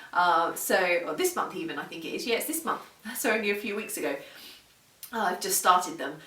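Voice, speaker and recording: Roomy, distant mic; woman book vlogger, lowish voice, casual and enthusiastic. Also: fairly bright tone. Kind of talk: casual, chatty book talk to camera. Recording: roomy echo, distant microphone.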